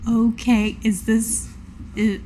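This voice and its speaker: A woman talking as a player in a tabletop game, hesitant and deliberate, partly in character. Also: fairly high pitch, flat delivery, mellow. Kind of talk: in-character casual game discussion.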